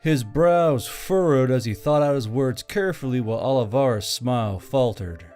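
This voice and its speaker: A man's voice: mid-range voice, expressive, normal speed, darkish tone. Male audiobook narrator over music. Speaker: story narrator. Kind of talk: scripted fantasy audiobook narration.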